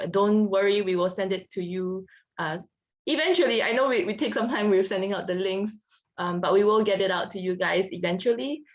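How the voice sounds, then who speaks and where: A woman's voice: medium pitch, lo-fi sound. A woman hosting an online webinar, introducing the guest in a chatty way with many fillers. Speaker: webinar host. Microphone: video call.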